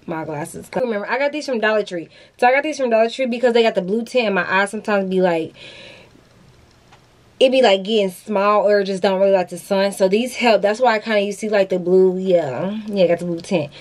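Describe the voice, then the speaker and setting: A young woman hosting a casual haul vlog, bubbly and slangy, talking straight to her viewers from a walk-in closet. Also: mid-range voice. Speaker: beauty vlogger host. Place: walk-in closet with shoe shelves, indoors. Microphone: camera in front at close range.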